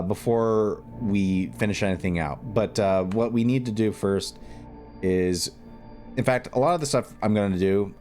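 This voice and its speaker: A man's voice: on the low side, darkish tone. A man hosting a video tutorial in a casual, informal way, thinking aloud as he works through the steps. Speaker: video tutorial host and game modder. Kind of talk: casual screen-recorded tutorial narration.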